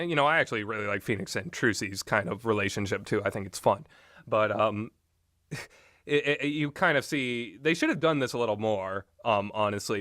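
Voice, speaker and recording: A man rambling casually through his opinions as a video game reviewer, animated and quick to act out imagined lines with exasperation. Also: mid-range voice, dry recording, studio quality. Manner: animated, exasperated asides, acts out imagined quotes.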